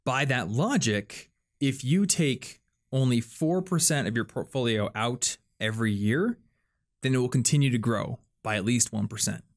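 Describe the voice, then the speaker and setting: A man's voice: medium pitch, moderate pace. A young man, hosting a casual podcast chat and explaining ideas with plenty of fillers. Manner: chatty, explains things with lots of "like" and "um". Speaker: podcast host.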